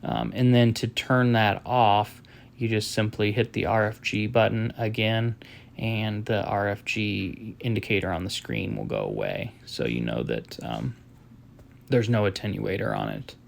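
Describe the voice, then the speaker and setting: A man giving an informal step-by-step tutorial as a product demonstrator, explaining in a halting, matter-of-fact way. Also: fairly low pitch, vocal fry, a little hiss or hum.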